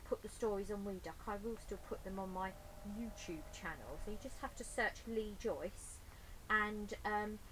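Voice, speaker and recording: A woman's voice: mid-range voice, a bit bright, poor audio. A woman speaking informally and expressively as a storyteller for children, recorded inside a greenhouse. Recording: clear ambient background noise.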